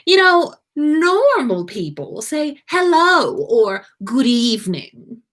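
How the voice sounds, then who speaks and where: A woman's voice: high-pitched, very animated, takes it slowly, a bit bright, voice pushed up, heavily acted. A woman voicing a haughty, sardonic young wizard man who is easily startled, in a storybook reading. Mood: smug.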